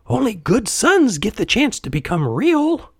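A man's voice: very high voice, huge pitch swings, a little slow, slightly rough, no echo, clean studio sound, pitched above the performer's own voice, full character voice. A man voicing a tiny preachy cricket in a storybook, scolding and reproachful. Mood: reproachful pleading.

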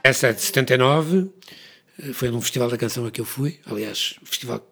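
A man with a European Portuguese accent, telling stories about writing his songs in a lively casual chat, with many restarts. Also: mid-range voice, husky, faint music behind. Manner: animated storytelling, quick with many restarts. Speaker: songwriter guest.

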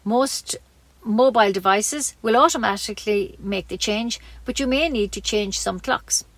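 A woman's voice: medium pitch, normal speed, no room sound. An Irish woman reading scripted local news as a community radio newsreader.

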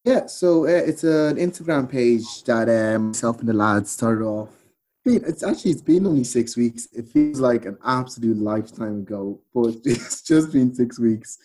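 Male guest, Irish accent, relaxed dark timbre, middle pitch, loose conversational storytelling. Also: normal speed. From Ireland. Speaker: podcast guest. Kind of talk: casual chat, storytelling.

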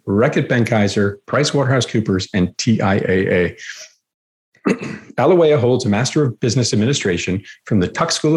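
A man's voice: on the low side, darkish tone. A man hosting a podcast, reading a scripted introduction and then interviewing a guest. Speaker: podcast host.

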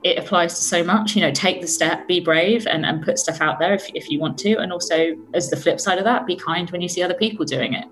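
Interview guest: English woman, late 30s, low register, conversational, roomy recording. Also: fairly quick, few pauses. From Suffolk, England. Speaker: interview guest, magazine editor. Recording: roomy, echoey sound.

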